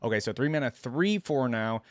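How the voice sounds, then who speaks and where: A man hosting a reaction video, talking casually as he thinks out loud and reads text from the screen. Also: mid-range voice, expressive, moderate pace, dry recording.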